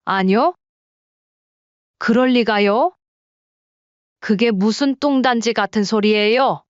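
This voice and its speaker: Woman doing an older widowed mom, slow and smooth, suspicious, kinda dull muffled audio. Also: on the high side, no room sound. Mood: surprised. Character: widowed older farm mother, wary and blunt.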